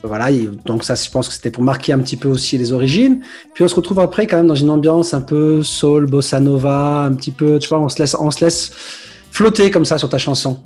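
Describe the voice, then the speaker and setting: A man's voice: a little high, brisk, darkish tone, breathy edge, soft background music. A man hosting a radio interview, asking long rambling questions in a chatty way. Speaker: radio host.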